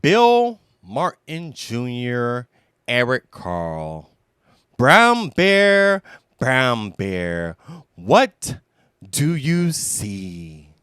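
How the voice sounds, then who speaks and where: A man reading children's stories aloud with expressive, deliberate, emphatic phrasing. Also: medium pitch, clean tone, no echo, studio quality. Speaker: storybook reader. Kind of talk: children's read-aloud narration.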